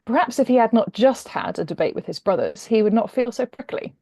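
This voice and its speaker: A British woman speaking as a guest author, conversational and expressive as she introduces and reads fiction. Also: a little high, brisk, vocal fry, dry recording, clean studio sound. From Britain.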